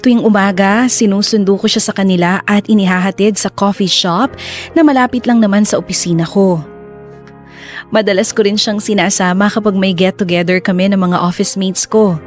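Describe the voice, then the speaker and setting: A woman's voice: mid-range voice, steady tone, moderate pace. Female narrator, mid-range voice, scripted storytelling delivery, radio drama, light music behind. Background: soft music.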